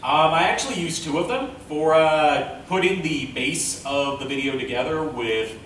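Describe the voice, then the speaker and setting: A man hosting an informal panel Q and A, speaking in a hesitant, halting way. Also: on the high side, a bit bright. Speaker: panel host, web series creator. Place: convention panel room. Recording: very echoey room, distant mic, noise.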